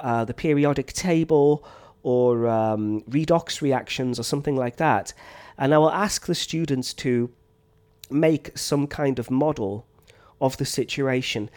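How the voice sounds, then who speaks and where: A science teacher giving relaxed, unscripted advice to other teachers, with frequent ums and a slight cough from a chest infection. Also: male voice, medium pitch. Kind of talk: teacher training talk.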